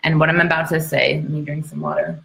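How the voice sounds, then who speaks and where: Low-voiced woman lecturing in an echoey room. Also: flat delivery, fast, gravelly, a bit bright. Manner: emphatic, repeats key points, checks in with "okay?". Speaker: speaker, midwife and trainer. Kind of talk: informal educational lecture to an online audience. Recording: roomy, echoey sound.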